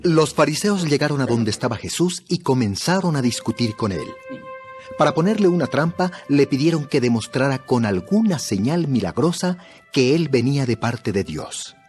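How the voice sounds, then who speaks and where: A man's voice: mid-range voice, animated, moderate pace, darkish tone. A man narrating a dramatized audio Bible in a scripted storytelling style. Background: background music.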